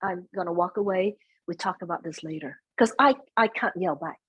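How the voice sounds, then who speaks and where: A soft-spoken middle-aged woman speaking as a guest in an interview, chatting about family, sometimes slipping into Vietnamese phrases. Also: fairly low pitch, fairly quick, breathy edge. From United States. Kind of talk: conversational interview.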